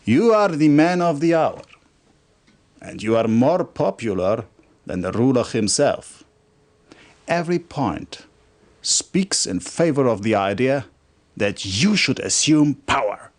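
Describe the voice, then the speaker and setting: Fervent military officer, dramatically swinging pitch. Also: male voice, sing-song, slow pace, long pauses. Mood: earnest, conspiratorial. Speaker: military officer briefing a commander. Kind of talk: dramatic video game cutscene acting.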